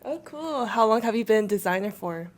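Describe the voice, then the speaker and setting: A woman's voice: on the high side, animated, moderate pace. A woman interviewer asking short, casual questions and giving friendly affirmations.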